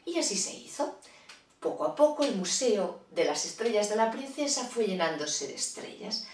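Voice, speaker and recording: A woman's voice: medium pitch, moderate pace, far from the mic, a little hiss or hum. Woman telling a children's story in a roomy echo. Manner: lively, expressive, chatty asides.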